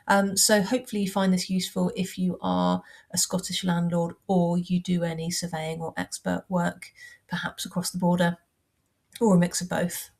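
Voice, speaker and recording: A woman with a heavy cold talks through housing regulations in a measured, practical way. Her voice is croaky and gravelly, sitting low with little rise and fall. She sounds like a working surveyor giving a plain explainer. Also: little pitch movement, darkish tone. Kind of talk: informal explainer talk.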